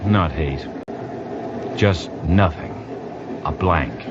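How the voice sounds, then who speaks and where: An actor playing a bitter, suspicious young husband, nervous and coldly resigned, in an old-time radio drama. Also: male voice, animated, drawn-out words, heavily acted. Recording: noisy muffled old radio recording, rumbling background.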